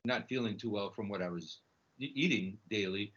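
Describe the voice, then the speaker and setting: A middle-aged man from Chile telling his own story conversationally as a guest, halting and repeating his words. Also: fairly low pitch, fairly bright tone, breathy edge. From Chile, emigrated to the United States. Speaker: podcast guest, snack bar entrepreneur. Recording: dull, lo-fi audio.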